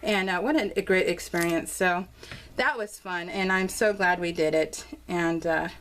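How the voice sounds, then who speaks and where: A woman's voice: on the low side, moderate pace, fairly bright tone, slight background noise, poor audio. Bubbly, rambling American woman. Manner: bubbly, gushing, giggly, rambling. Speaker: crafting vlogger showing scrapbook pages. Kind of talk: casual unscripted craft vlog chat.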